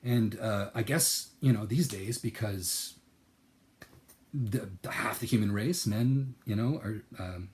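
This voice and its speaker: A man speaking in a personal, reflective monologue, earnest and searching, repeating words as he thinks and swinging from soft, lowered phrases to sudden loud, emphatic bursts. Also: takes it slowly. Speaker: channel host.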